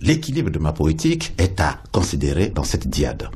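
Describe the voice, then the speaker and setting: A man, a poet guest in a radio interview, speaking slowly and lyrically, often repeating words as he searches for them. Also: rumbling low, sing-song, darkish tone, slight background noise.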